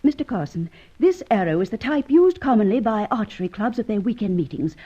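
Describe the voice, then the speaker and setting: A woman's voice: medium pitch, expressive, brisk, dark tone, breathy edge, lo-fi sound. An actress playing a cool, haughty, guarded woman in a radio drama, speaking formally and precisely. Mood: cold, guarded. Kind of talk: old-time radio drama acting.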